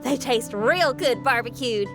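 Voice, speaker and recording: A woman's voice: on the high side, lively intonation, measured pace, over music. A woman voicing a hearty, down-to-earth young musician in a video game, easygoing, folksy and generous. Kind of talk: animated game voice acting.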